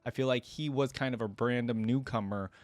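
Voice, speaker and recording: A man's voice: medium pitch, fairly even intonation, a little fast, darkish tone, no room sound. Man hosting a casual reaction, dry close sound. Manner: casual, addresses viewers directly. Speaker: host of a game lore reaction channel. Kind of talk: reaction commentary with read-aloud story passages.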